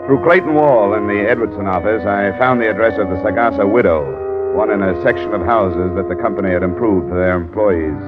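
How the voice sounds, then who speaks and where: A man's voice: on the low side, fairly quick, over loud music, close to an everyday voice. A man playing a hard-boiled insurance investigator in old American radio drama, clipped and matter-of-fact, with an American accent. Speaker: lead actor and narrator. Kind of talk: 1940s-50s American radio detective acting. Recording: muffled old radio recording.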